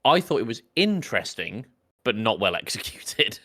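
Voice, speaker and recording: A man's voice: on the low side, very animated, moderate pace, pushed and bright. A man talking casually as a podcast panellist, explaining things informally to friends.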